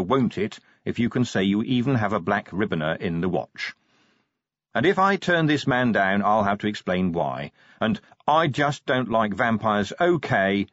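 A man voicing a grumpy, sardonic male police commander, sceptical and gruff, in an audiobook. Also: on the low side, a bit bright, dry recording, highs cut off.

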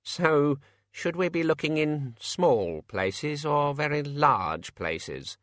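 A man's voice: mid-range voice, very animated, a bit bright, no echo, clean studio sound, exaggerated voice. Storybook senior wizard, male reader: nervous, moaning, dragging out words. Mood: puzzled, hesitant. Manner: slow, hesitant, moaning. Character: anxious, plaintive male senior wizard academic.

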